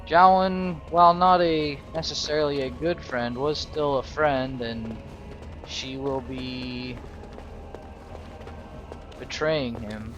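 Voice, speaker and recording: A man improvising third-person narration over a fantasy video game, halting as he thinks aloud. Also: medium pitch. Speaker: role-play gamer, narrator. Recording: dull lo-fi microphone. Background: faint game music.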